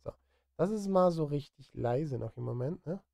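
A man's voice: a little high, animated, moderate pace, vocal fry, warm tone, no echo, faint music behind. A man in his thirties chatting casually on a live stream as he works, partly to himself, close on a studio microphone. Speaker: streamer, film music composer. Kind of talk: casual live-stream chat while composing. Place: indoor home studio. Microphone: close studio microphone, headphones on.

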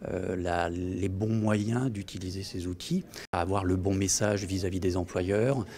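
A man in his thirties, an employment agency official, speaks in a measured way with frequent hesitations in an interview inside an office meeting room. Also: low voice, steady tone, a little mellow. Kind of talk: interview for a news report.

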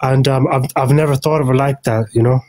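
A man's voice: medium pitch, steady tone, brisk, darkish tone. A man on a live-stream debate, chatting casually in a rambling way and restarting his sentences.

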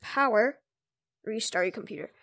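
A speaker giving informal, improvised step-by-step instructions in a casual screen-recorded software tutorial. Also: mid-range voice, lively intonation, normal speed, dry recording. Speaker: tutorial presenter.

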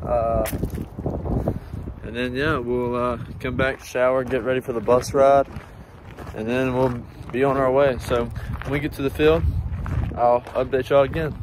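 A man's voice: medium pitch, level tone, a little slow, noisy background. A young man, a college baseball player, talking casually to a phone held at arm's length as he goes about his day, with lots of fillers. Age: young adult. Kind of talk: casual vlog narration. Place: outdoors on campus and in a campus restaurant. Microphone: phone camera held at arm's length.

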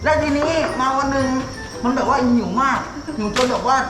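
A woman with a fairly high voice hosts a playful challenge, telling a made-up story in loose, colloquial speech with her own sound effects. The room is noticeably echoey and music plays clearly underneath. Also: fairly quick. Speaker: host of a challenge video. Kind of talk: casual playful chat and improvised storytelling. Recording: roomy echo, music underneath.

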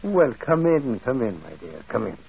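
An actor playing a kindly, shrewd priest with an avuncular, musing manner in a radio drama. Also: male voice, mid-range voice, very animated, normal speed, gravelly, slightly rough, full character voice. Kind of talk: 1940s radio drama acting. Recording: dull old radio broadcast.

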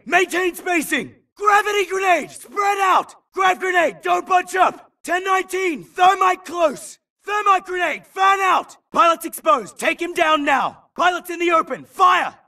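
Shouting, high-pitched soldier grunt. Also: male voice, vocal fry, distant mic, heavily acted. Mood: alarmed, urgent. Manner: clipped, urgent radio-call phrasing. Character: combat soldier grunt, urgent, tough, disciplined military man. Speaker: game voice actor. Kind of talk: military video game battle barks.

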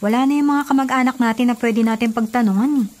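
A woman plays a frank, skeptical young woman in a radio drama. She has a fairly high voice and a practical, no-nonsense way of speaking. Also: quick pace, a little mellow, close to an everyday voice. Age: young adult. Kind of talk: Filipino radio drama acting. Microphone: studio mic.

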